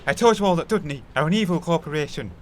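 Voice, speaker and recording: A man's voice: fairly high pitch, lively intonation, quick pace, slight background noise, lightly acted. A man playing an earnest, suspicious young crusader with an accusing manner in an audio play. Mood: indignant.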